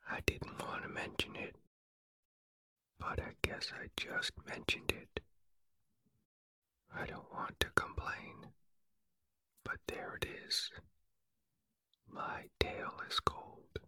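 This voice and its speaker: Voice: takes it slowly, long pauses, pushed and bright, no room sound. A reader whispering the lines of a gloomy, self-pitying old donkey in a storybook. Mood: glum. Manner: glum, self-pitying, complaining.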